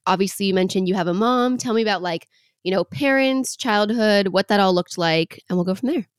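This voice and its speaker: A woman's voice: medium pitch, fairly quick, clean tone, dry recording. Enthusiastic woman podcast host, dry sound. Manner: enthusiastic, chatty, lots of likes. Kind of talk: casual interview chat.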